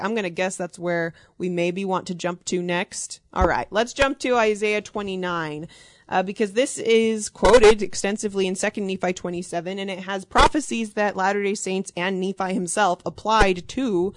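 A woman's voice: mid-range voice, no room sound. Woman leading a discussion, dry close sound. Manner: organized, steering the talk, quick bursts of speech. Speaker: discussion leader. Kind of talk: casual group discussion.

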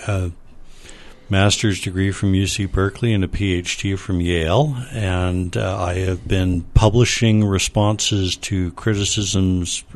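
A man who is a scholar and panel guest, explaining in a measured way with frequent fillers. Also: sits low. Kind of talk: panel discussion.

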